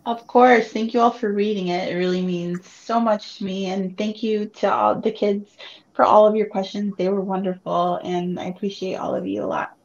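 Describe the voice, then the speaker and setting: A woman in her thirties whose first language is Spanish, an author answering students' questions in a reflective, warm way over a video call. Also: medium pitch, steady tone, moderate pace, lo-fi sound. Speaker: author guest. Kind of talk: virtual book club Q&A. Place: home room, video call. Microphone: webcam or laptop mic on video call.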